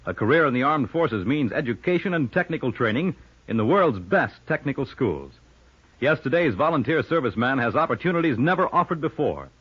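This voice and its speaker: A man's voice: medium pitch, big pitch swings, fairly quick, breathy edge. A man reading a scripted 1950s radio announcement in an earnest, emphatic manner, on a muffled old recording.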